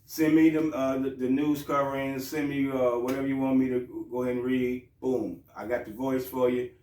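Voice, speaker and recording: A man's voice: mid-range voice, level tone, moderate pace, mellow, reverberant, recorded from a distance, slight background noise. A man hosting his own show in a loose, joking, profane way, with frequent restarts. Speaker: host. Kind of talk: casual show talk.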